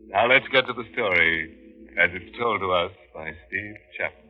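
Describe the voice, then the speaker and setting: A man's voice: sits low, unhurried. An actor playing a gleefully macabre, mock-genial horror host in 1940s radio style, chuckling over his puns. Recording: dull vintage 1940s radio with music.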